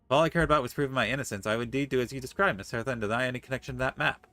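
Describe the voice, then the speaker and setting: A man's voice: mid-range voice, racing, pushed and bright. A man livestreaming a game, reading story dialogue aloud articulately and adding playful comments. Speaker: game streamer.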